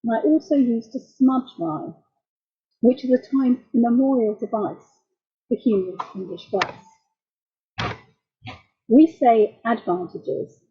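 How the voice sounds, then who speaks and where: A middle-aged woman lecturing as a translator, explaining jokes in a wry, measured way. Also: a little high, steady tone, breathy edge, highs cut off. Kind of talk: academic talk.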